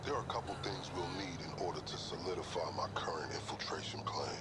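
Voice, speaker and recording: An actor playing a calm, calculating undercover operative, giving measured, formal heist briefings in a video game cutscene. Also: male voice, low-pitched, heavy background noise, lightly acted. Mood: businesslike, discreet. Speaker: heist planner. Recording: loud music behind.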